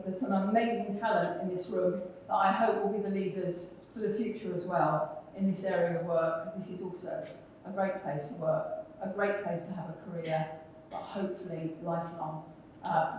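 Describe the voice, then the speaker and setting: A woman's voice: fairly low pitch, fairly even intonation, moderate pace, few pauses, darkish tone, slight background noise. A woman speaking at a conference, emphatic and encouraging, answering questions from the floor. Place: large conference room. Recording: echoey hall, speaker far from mic.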